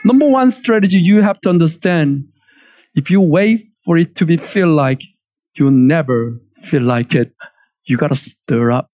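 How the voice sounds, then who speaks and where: A man in his forties with a Korean accent, preaching a lively sermon from a church stage and calling for amens. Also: a little high, a little slow. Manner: animated, emphatic preaching with call-and-response. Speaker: pastor.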